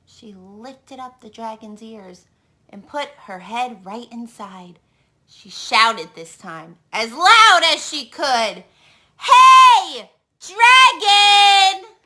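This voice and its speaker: A woman storyteller reading aloud to children in an expressive way, putting questions to her young listeners. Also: a little high. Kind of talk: animated children's read-aloud.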